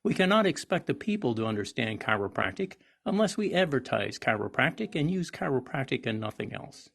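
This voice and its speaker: A man reading a persuasive article aloud in an oratorical style, with emphatic, declamatory and deliberate phrasing. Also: medium pitch, big pitch swings, vocal fry, fairly bright tone, husky. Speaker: reader of a historical article.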